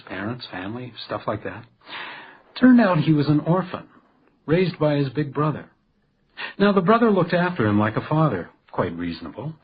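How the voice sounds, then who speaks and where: An actor playing a polite but stubborn hotel detective in a radio crime drama, with a dry, storytelling way of talking. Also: male voice, a little high, lo-fi sound, a little hiss or hum. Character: hotel house detective, male, deferential but stubbornly needling.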